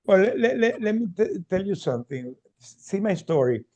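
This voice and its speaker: A man's voice: sits high, sing-song, moderate pace, a little mellow, muffled recording. A man from Venezuela, telling his story earnestly as a keynote speaker and often restarting his words. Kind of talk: storytelling talk on a video call.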